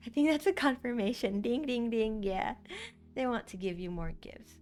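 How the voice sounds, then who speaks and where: A woman's voice: fairly high pitch, animated, normal speed, a little mellow. A woman with a Thai accent whose first language is Thai, giving a casual card reading and rambling with many fillers and restarts. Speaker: tarot card reader.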